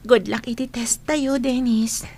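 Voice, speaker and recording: High-voiced, supportive young female student. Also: steady tone, a little slow, darkish tone, slightly rough. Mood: encouraging. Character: kind, supportive young female student defending her classmate. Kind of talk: Ilocano radio drama acting.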